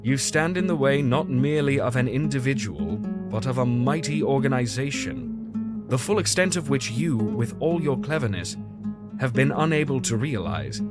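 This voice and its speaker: An actor playing a fussy, caring Victorian gentleman doctor in an audio drama, with earnest, old-fashioned formal speech. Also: medium pitch, animated, normal speed, over loud music, lightly acted. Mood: grave, troubled.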